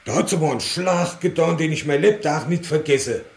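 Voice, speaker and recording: An actor as an earnest dialect-speaking village war veteran. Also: male voice, on the high side, fairly quick, natural voice. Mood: moved, emphatic. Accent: southwestern German regional dialect. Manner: plain, colloquial, earnest. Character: earnest older village war veteran recounting his past on camera. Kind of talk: rustic spoken eyewitness recollection.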